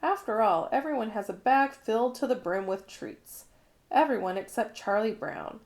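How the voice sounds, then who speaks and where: A woman narrating a children's picture book in an expressive read-along style. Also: fairly high pitch, moderate pace. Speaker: storybook reader.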